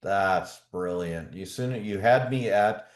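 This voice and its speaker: Deep-voiced male interview host, echoey room. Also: slow pace. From Canada. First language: German. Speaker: podcast host. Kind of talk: casual video interview.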